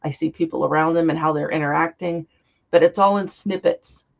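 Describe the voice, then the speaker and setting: Woman with a cold, a bit hoarse, gravelly, earnest and heartfelt, kinda dull lo-fi audio. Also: deep voice, steady tone, brisk. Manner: earnest, heartfelt, flowing explanations. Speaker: guest psychic. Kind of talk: interview conversation. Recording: dull lo-fi sound.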